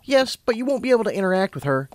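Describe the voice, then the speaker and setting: A man's voice: sits high, sing-song, very fast, a little mellow, voice pushed up. A man voicing a confident, kindly female unicorn pony who explains things like a patient mentor. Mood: upbeat, instructive. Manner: assured, explanatory.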